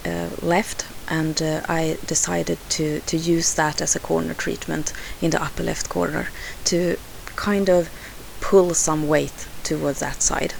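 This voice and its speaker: Slow, gravelly Swedish-accented woman. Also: low voice, little pitch movement, slight background noise, rough recording. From Sweden. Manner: hesitant, unhurried, frequent fillers and restarts. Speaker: scrapbooking crafter. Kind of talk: narrated craft voice-over.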